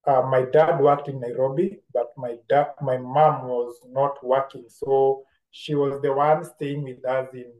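A man with a Kenyan accent telling his story as an interview guest, deliberate and reflective, often repeating phrases. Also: mid-range voice, flat delivery, highs cut off. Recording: roomy, echoing sound.

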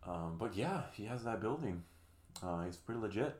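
A laid-back man in his early twenties from California chats and teases on a podcast. His voice is very low. The room adds a clear echo. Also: animated, normal speed, a little mellow. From California, USA. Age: 20s. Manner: laid-back, fillers, teasing. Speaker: podcast co-host. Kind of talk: casual chat.

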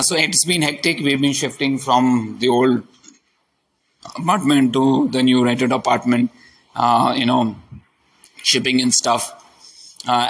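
A hoarse man, slowly talking through his day on a personal diary podcast from his new apartment, halting with many fillers. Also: mid-range voice, fairly bright tone, a little hiss or hum, poor audio. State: hoarse from a sore throat. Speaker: podcast host. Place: new rented apartment, indoors.

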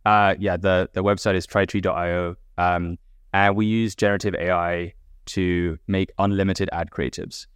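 A man with a British accent, softened by years in America, explaining his startup as a podcast guest in a relaxed way, with many "like"s and "basically"s. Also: low voice, normal speed, clear, resonant tone, no room sound, studio quality. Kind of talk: casual podcast interview.

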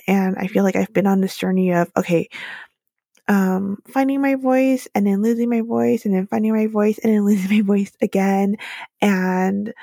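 A woman's voice: mid-range voice, gravelly, dark tone, no room sound, clean studio sound. A woman in her late twenties hosting a solo podcast, talking candidly and reflectively with plenty of "like" and "um". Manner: chatty, candid, lots of "like" and "um" fillers. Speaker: podcast host.

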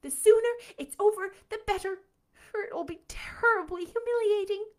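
A woman's voice: very high voice, big pitch swings, normal speed, pitched above the performer's own voice. A woman reading aloud voices a dramatic teenage girl who wails and frets breathlessly. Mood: resolute. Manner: breathless, wailing and theatrical.

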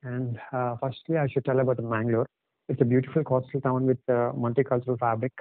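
A man with an Indian English accent, a native Telugu speaker, telling travel stories as a podcast guest in a halting, hesitant way. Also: medium pitch, little pitch movement, no echo. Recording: dry, tinny phone-like line.

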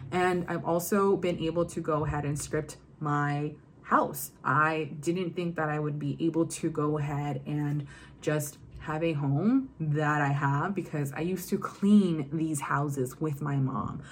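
A woman's voice: low voice, slight background noise. A young woman working as a love and confidence coach, talking casually and leaning on the phrase "go ahead and.". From Virginia, US. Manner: chatty, repeats "go ahead and". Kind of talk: casual talk to camera.

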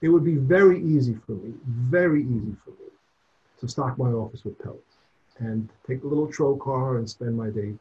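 A man in his sixties, born in Cuba, speaking as a clinician giving advice. His voice is dark and mellow, and he stresses his points by repeating them. The audio is dull and lo-fi, as from a remote call. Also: mid-range voice. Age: 60s or older. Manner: earnest, repeats himself for emphasis. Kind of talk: interview answers.

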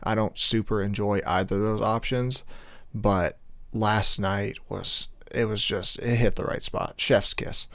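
A male podcast host with a slightly hoarse voice, talking casually in a rambling way with many fillers. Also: fairly low pitch, steady tone, measured pace, a bit bright, no echo. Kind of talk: casual solo podcast intro.

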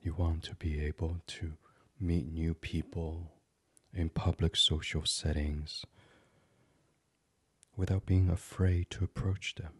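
Male sleep meditation host: hushed, very husky and breathy, unhurried, gentle, recorded close and dry. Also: a bass voice, little pitch movement, no room sound. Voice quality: breathy, husky, partly whispered. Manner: soothing, hushed, gentle, with many pauses. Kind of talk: guided sleep meditation, soft ASMR reading.

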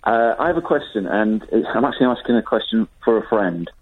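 A man calls in to a radio show with a question and speaks slowly, politely and hesitantly. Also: fairly low pitch, animated, slightly rough. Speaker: caller. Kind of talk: phone-in call. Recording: tinny phone line.

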